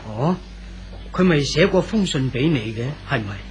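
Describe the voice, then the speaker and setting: A man playing a kindly, talkative old church pastor in a 1970s radio drama, with a Hong Kong Cantonese accent and a gentle, unhurried manner. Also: medium pitch, expressive, faint music behind, lightly acted. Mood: kindly, reflective. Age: older.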